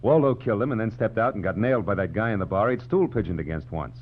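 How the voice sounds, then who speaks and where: An actor playing a wisecracking hardboiled private detective in 1940s radio noir, with a dry, sardonic delivery. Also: male voice, fairly low pitch, fast talker, a little hiss or hum, close to an everyday voice. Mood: bargaining. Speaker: lead actor and narrator of a detective series. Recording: muffled vintage 1940s radio recording.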